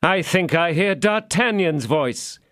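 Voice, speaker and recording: A man voicing a calm, grim swordsman. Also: fairly high pitch, dry recording, studio quality, pitched above the performer's own voice. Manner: calm, slow, measured. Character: calm, grim male swordsman behind a door.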